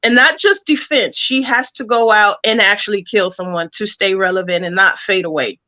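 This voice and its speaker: Woman guest on an interview, really bright forward voice, just casually explaining stuff. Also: mid-range voice, moderate pace. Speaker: comic creator guest.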